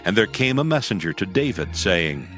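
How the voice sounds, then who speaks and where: A man's voice: fairly low pitch, sing-song, normal speed. A man narrating scripture from a script in a solemn, formal storytelling manner. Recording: loud music under the voice.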